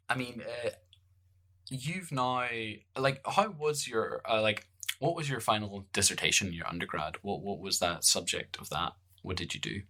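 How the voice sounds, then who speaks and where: Bright-toned Northern Irish male host. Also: on the low side. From Northern Ireland. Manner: rambling, jokey, many fillers. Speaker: podcast host. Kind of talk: casual conversational interview.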